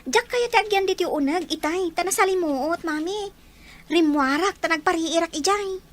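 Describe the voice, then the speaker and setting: A woman's voice: extremely high pitch, normal speed. A woman playing a jealous, scheming young schoolgirl in an Ilocano radio drama, emotional and pleading, with an Ilocano accent. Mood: hurt, pleading.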